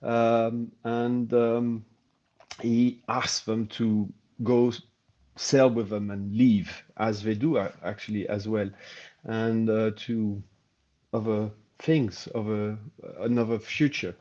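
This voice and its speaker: A man, a film director speaking hesitantly with many pauses on a panel. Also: fairly low pitch, level tone, mellow. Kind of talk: casual panel talk. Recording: dull lo-fi call audio.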